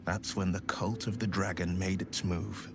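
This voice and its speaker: An actor playing a noble, resolute young hero who speaks in an earnest, rousing way. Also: male voice, deep voice, little pitch movement, moderate pace, music in the background. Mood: storytelling. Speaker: game companion. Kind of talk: video game dialogue.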